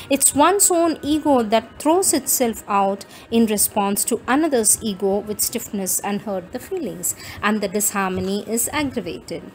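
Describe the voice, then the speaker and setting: A woman reading devotional quotations aloud in a deliberate, instructive manner. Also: on the high side, a little mellow, poor audio. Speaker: reader of spiritual teachings. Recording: noticeable background noise.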